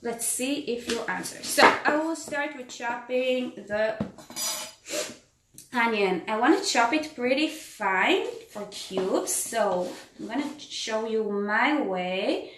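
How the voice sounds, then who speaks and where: A woman with an Israeli accent talks through a cooking demo in unhurried, slightly broken English, pausing for ums and reading viewer comments aloud. Her voice sits a little high. She's in an echoey home kitchen with faint pots and dishes in the background. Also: animated, background noise. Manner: chatty, reads and answers viewer comments, frequent ums. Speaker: tour educator hosting a live cooking stream. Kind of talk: casual live cooking demo.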